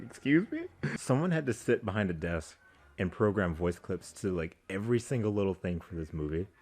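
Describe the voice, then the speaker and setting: Low-voiced man chatting casually. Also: a little mellow. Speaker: reaction video host. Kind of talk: casual chat to camera.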